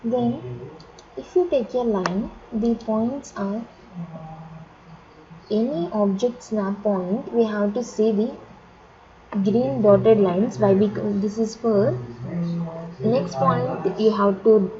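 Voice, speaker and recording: A woman with an Indian accent teaching software step by step as an instructor, in short, repetitive instructional phrases. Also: fairly high pitch, slow, long pauses, background noise. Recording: dull lo-fi audio, chatter of voices behind.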